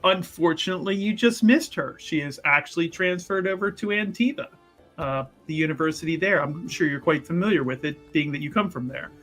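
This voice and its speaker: A man playing a tabletop roleplaying game, explaining his character's doings in a halting, rambling way full of fillers and restarts. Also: a little high, fairly bright tone, faint music behind. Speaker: player. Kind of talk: actual-play tabletop roleplay.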